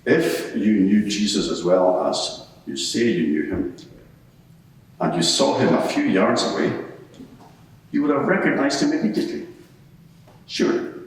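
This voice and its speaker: A man's voice: fairly low pitch, lively intonation, measured pace, long pauses. A man playing a probing, sceptical courtroom advocate who fires insistent, pointed leading questions in a dramatised cross-examination. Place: large reverberant courtroom. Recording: echoey hall, distant microphone.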